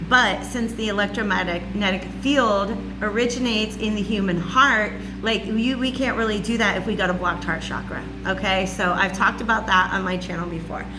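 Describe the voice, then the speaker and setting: A loud woman with a raspy voice gives a chatty spiritual lesson at home and often checks in with "okay?". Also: medium pitch, fairly even intonation, normal speed, hardly any pauses, music in the background, poor audio. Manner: chatty, says "okay?" and "all right?" often. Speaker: spiritual channel host. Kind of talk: casual teaching monologue. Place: warm room at home with a fan running. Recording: home recording with clear hum.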